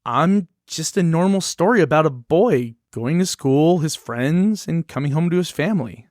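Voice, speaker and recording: A woman's voice: low-pitched, expressive, normal speed, darkish tone, no echo, clean studio sound. A woman acting as a cheerful, naive library book in a musical puppet show, earnest and questioning. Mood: puzzled, innocent. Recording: music behind the voice.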